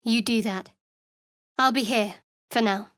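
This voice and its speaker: A woman voicing a guarded, dry-witted young female cleric in a fantasy video game, measured and wry. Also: a little high, no room sound, natural voice.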